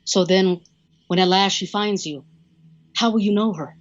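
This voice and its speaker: A woman playing a courtly, probing captor in an audio drama, speaking formally and deliberately with a theatrical, wistful politeness. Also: mid-range voice, muffled recording, lightly acted.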